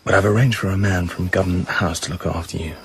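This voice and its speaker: A brooding English secret agent in a radio drama, his voice low. He speaks in clipped, understated phrases and gives little away. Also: fairly even intonation, fast, fairly bright tone, slight background noise. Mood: tender.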